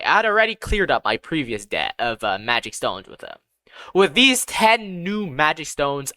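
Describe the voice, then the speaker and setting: Give this story to an audiobook narrator with a medium-pitched, bright voice and very animated intonation. The reading is scripted first-person storytelling, with pitch that rises and falls freely. The sound is close and dry, with little room on it. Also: dry recording.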